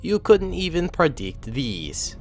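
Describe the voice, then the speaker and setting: Smug male mad scientist, acted by a narrator, put-on foreign accent, slow taunting drawl, halting, music behind. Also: on the high side, big pitch swings, heavily acted. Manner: drawn-out, mocking, halting delivery. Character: scheming, smug male scientist defecting from his dark lord.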